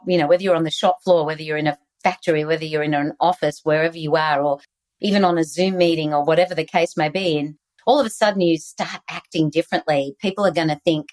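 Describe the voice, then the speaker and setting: Low-voiced Australian woman. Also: a little mellow. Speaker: guest author and leadership speaker. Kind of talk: conversational interview answers.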